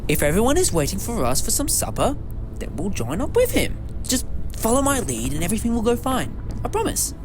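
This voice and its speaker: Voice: mid-range voice, huge pitch swings, fairly quick, background noise. A performer playing a confident, eccentric time-travelling adventurer with a jaunty, chatty, reassuring manner in an audio drama. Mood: breezy confidence.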